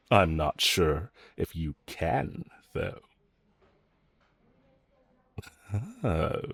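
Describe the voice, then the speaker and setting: A man's voice: sits low, breathy edge, dry recording, heavily acted. A man playing a cocky, flirtatious, unrepentant villain in an audio roleplay, teasing and mocking the listener with sarcasm. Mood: smug, amused. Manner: teasing, sarcastic drawl with chuckles.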